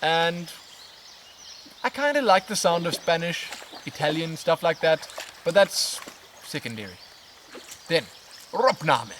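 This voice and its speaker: A man, casually answering viewer questions in a relaxed, expressive, rambling way as a vlog host. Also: on the high side, slow pace, pauses often. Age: 20s. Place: outdoors on a riverbank in woodland. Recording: outdoor natural background noise, birds. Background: outdoor ambience with birds.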